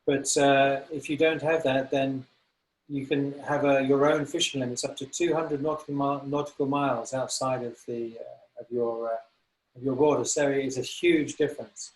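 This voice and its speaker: A man in his sixties with an English accent, a business consultant answering interview questions in a thoughtful, halting way with many fillers and restarts. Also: mid-range voice, steady tone, reverberant, low-quality recording. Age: 60s.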